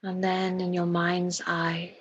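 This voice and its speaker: Low breathy lady doing guided meditation, super slow n soothing, soft voice. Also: monotone, lo-fi sound. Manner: soothing, hushed, unhurried delivery with long pauses.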